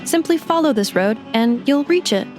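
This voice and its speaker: A woman's voice: high voice, expressive, normal speed. A woman voicing a polite female robot guide assistant who speaks in a precise, formal, factual way, in video game dialogue with music behind. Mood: calm.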